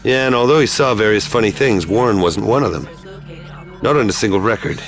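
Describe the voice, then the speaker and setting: A man's voice: fairly low pitch, a little fast, soft background music, close to an everyday voice. A man playing a level-headed, businesslike operative in a sci-fi video game, speaking matter-of-factly. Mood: serious. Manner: matter-of-fact, questioning. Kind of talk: video game cutscene acting.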